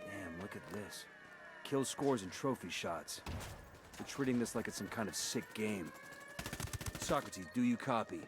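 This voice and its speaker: An actor playing a tough special forces squad leader who gives curt orders and threats. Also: male voice, on the low side, expressive, measured pace, long pauses, over music. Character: gruff, tough male special forces soldier.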